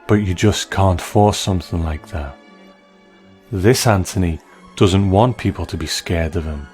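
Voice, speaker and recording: Male essay narrator, very low pitch, measured and analytical, music underneath. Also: darkish tone. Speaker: narrator and film critic. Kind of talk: scripted video essay commentary.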